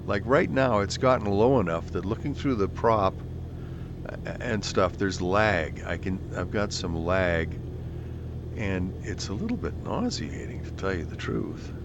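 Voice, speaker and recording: A man's voice: animated, a little slow, muffled recording, noisy background. A man, chatting casually and self-deprecatingly while flying a VR flight sim at home. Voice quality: deep voice. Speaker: flight sim video host.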